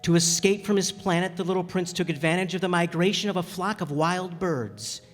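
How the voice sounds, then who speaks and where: Man playing a gentle storyteller in a reverberant hall. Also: high-pitched, brisk, lightly acted. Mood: storytelling. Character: gentle, reflective stranded man narrating his encounter. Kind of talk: dramatic storytelling in a radio play. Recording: echoey hall with music bed.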